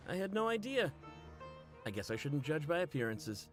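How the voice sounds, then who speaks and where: A man's voice: on the high side, very animated, unhurried, over music. A man voicing a joking, wry male mercenary in a video game.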